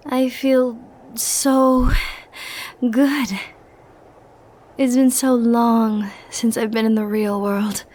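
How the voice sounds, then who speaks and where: Woman acting a slow, teasing ancient villainess. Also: fairly high pitch. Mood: languid, pleased. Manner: slow, teasing, condescending, breaking into breathy whispers. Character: ancient immortal giant being, flirtatious, condescending, dangerous villainess. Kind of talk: ASMR roleplay acting.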